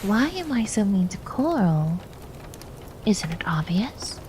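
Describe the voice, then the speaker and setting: A woman acts out a young maid sister in an ASMR roleplay, soft-spoken and ladylike. She teases and scolds playfully and gets flustered easily. She is close on the mic, with noticeable noise behind her. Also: mid-range voice, sing-song, slow. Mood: soft, fond. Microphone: close binaural ear microphone. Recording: noticeable background noise, binaural ASMR microphone.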